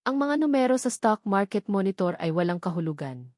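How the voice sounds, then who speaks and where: A woman's voice: medium pitch, very animated, brisk, darkish tone, no room sound. Filipino woman narrating drama, dry close sound. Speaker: story narrator. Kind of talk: scripted dramatic storytelling narration.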